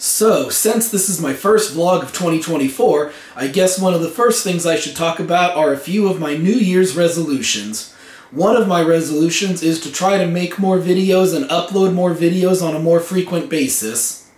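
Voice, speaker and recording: A young man with a hoarse voice from a cold, hosting his own vlog in an earnest, plain way from a rented room at home. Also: fairly high pitch, moderate pace, a little mellow. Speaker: vlog host. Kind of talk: casual vlog talk to camera. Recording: echoey room.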